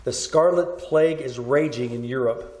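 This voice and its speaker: Man acting a grave, mellow radio newscaster, roomy sound. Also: medium pitch, close to an everyday voice. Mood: grave, urgent. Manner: formal, measured announcing. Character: grave male radio newscaster reading plague bulletins. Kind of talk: radio news bulletin acting. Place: live staged performance at a winery. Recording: roomy live stage performance.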